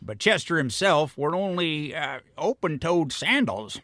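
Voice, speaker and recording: A man's voice: on the high side, very animated, a little slow, fairly bright tone, no room sound, studio quality. A man voicing a wrinkled old mole janitor in a comic audio drama, with a chuckling, folksy old-timer way of talking. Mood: chuckling, friendly. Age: older.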